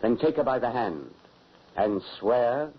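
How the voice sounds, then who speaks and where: A man's voice: mid-range voice, lively intonation, a little slow, husky. An actor playing a wily, menacing middle-aged huntsman and father, commanding and theatrical, in 1940s radio drama. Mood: menacing, insistent. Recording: noisy muffled 1940s radio recording.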